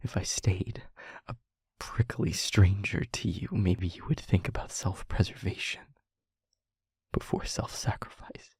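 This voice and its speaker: A man playing a guilt-ridden demon lover in an audio roleplay, confessing tenderly and in anguish in a halting, breathy, husky hush. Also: sits low, fairly even intonation, no room sound, clean studio sound, heavily acted. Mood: regretful, tender. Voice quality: breathy, husky, often whispering. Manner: halting, hushed, dramatic pauses. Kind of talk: intimate audio roleplay monologue.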